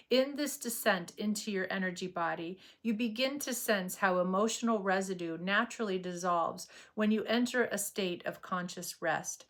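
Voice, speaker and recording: A woman who teaches yoga, giving a soothing meditation talk and letting her phrases trail off softly. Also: mid-range voice.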